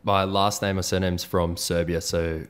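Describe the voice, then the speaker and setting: A young Australian man with a very low voice answers as a guest in a relaxed, plain-spoken way. He explains things simply and without fuss. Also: moderate pace. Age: young adult. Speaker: guest entrepreneur. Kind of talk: casual interview chat.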